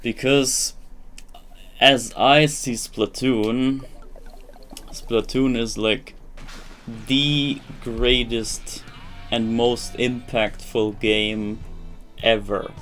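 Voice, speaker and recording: A man's voice: mid-range voice, fairly even intonation, drawn-out words, pauses often, a bit bright, soft background music. A young man whose first language is German, chatting casually on a live stream in English, rambling and searching for words with many restarts. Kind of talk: casual live-stream chat while gaming.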